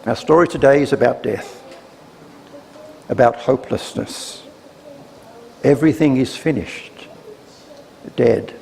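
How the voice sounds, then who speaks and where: Slow, gravelly man giving a grave reflection. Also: medium pitch, darkish tone. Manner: grave, deliberate pauses between phrases. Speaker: church speaker giving a reflection. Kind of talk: scripted sermon reflection. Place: church, live service. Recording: reverberant church, faint noise.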